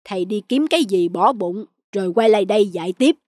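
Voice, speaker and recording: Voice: medium pitch, animated, fairly quick, dry recording, studio quality, natural voice. An actor voicing a boastful, cocky fox martial arts teacher in a cartoon, pompous and theatrical. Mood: smug. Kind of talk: cartoon voice acting. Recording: loud music behind.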